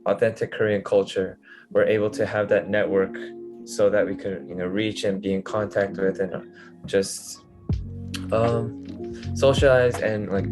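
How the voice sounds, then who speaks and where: A young man answers questions about campus life, his voice sitting low. He talks hesitantly, with frequent fillers, over clear background music. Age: young adult. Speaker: student interviewee. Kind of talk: casual interview answers.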